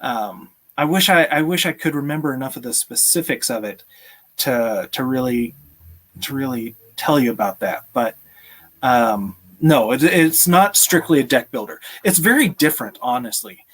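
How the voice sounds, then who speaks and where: A man's voice: medium pitch, expressive, normal speed, a bit bright. A man in his thirties hosting a live stream, chatting casually and answering viewer questions in a friendly, appreciative way. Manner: friendly, appreciative, chuckles often. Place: small room at home, indoors.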